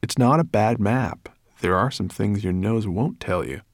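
A man's voice: fairly low pitch, unhurried, no echo, clean studio sound. A man voicing an eager young boy in a storybook reading.

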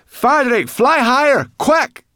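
A man voicing a bossy, grumpy, excitable female creature in a fantasy audiobook, scolding and exclaiming. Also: extremely high pitch, measured pace, no room sound, clean studio sound, pitched above the performer's own voice, full character voice. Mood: frantic.

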